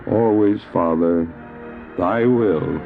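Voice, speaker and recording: Man acting a devout stranded missionary, tinny cassette drama. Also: deep voice. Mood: grateful prayer. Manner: solemn, reverent, earnest, deliberate dramatic phrasing. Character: devout male missionary stranded on drifting ice, recounting his ordeal. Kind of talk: audio drama acting with narrated recollection and prayer. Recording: muffled phone-like old cassette drama, music.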